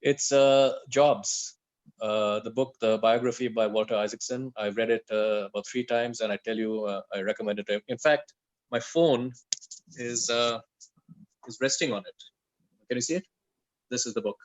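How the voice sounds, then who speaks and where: A man's voice: medium pitch, fairly even intonation, moderate pace. Chatty man on a dull lo-fi call. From India. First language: English. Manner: chatty, self-deprecating storyteller. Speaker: guest on aviation talk. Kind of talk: casual conversation on a video call. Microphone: video call.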